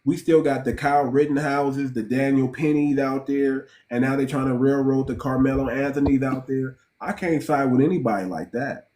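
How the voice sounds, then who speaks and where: A man speaking, blunt and emphatic, doubling back on words before he drives his point home. His voice has a warm, mellow tone at a middle pitch. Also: fairly even intonation, moderate pace, hardly any pauses. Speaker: host. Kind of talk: casual podcast discussion.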